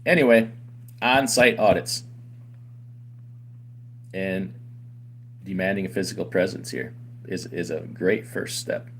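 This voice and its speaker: A man's voice: sits low, slow pace, long pauses, a little hiss or hum. A man who runs a trucking company gives an argumentative, opinionated talk to his audience, with many rhetorical questions. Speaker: owner of a trucking company and channel host. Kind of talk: opinionated commentary to camera.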